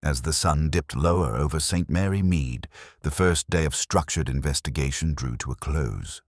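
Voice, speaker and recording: A man narrating a scripted mystery story as an audiobook, in a measured, formal storytelling manner. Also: very deep voice, no echo, clean studio sound.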